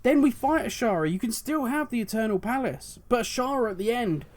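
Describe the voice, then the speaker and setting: A man hosting his own video, talking passionately and at length about game lore, his voice going hoarse. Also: very high-pitched, lively intonation, brisk. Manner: passionate, rambling, musing aloud. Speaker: video host. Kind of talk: solo commentary on game lore.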